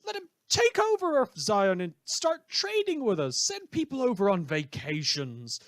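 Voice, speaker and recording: A man's voice: fairly high pitch, dry recording, clean studio sound. A man playing an opinionated, sardonic radio host delivering an indignant monologue in a fictional radio drama. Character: opinionated male radio host ranting about corrupt heroes.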